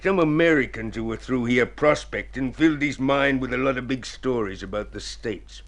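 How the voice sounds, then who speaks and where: A male actor as a scheming, smooth-talking consul from vintage radio drama. He is theatrical and self-satisfied, coaxing his partner along like a man selling a crooked deal. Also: mid-range voice, moderate pace, close to an everyday voice. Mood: sly, persuasive. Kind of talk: golden age radio drama acting.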